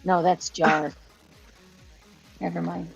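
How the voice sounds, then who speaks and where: A woman's voice: fairly low pitch, flat delivery, slow, creaky, husky, muffled recording, faint music behind. A woman co-hosting a casual chat, chatty and giggly, trailing off when she is unsure. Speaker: co-host.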